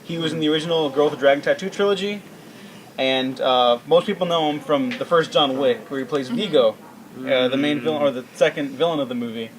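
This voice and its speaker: A man's voice: fairly high pitch, fairly quick, poor audio. A man co-hosting a podcast, giving casual commentary. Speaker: podcast co-host.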